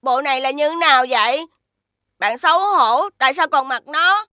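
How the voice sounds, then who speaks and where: A woman voicing a small, kind and curious alien creature in a children's cartoon, gentle and earnest. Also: high voice, lively intonation, normal speed, no echo, studio quality.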